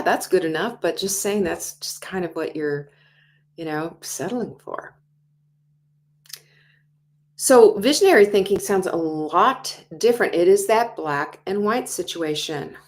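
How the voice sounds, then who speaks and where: A woman in her sixties hosting a motivational talk show, animated, acting out quoted inner voices and dropping to whispers. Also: lowish voice. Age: 60s. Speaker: host.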